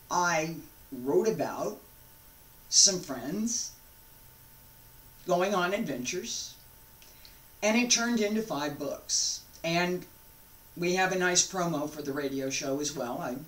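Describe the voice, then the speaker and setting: A woman in her sixties giving an informal invitation to camera, speaking deliberately in short phrases with pauses, recorded at home on a distant microphone. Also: lowish voice, expressive. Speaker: founder of a radio drama show. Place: carpeted room at home, indoors. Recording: echoey room, distant microphone.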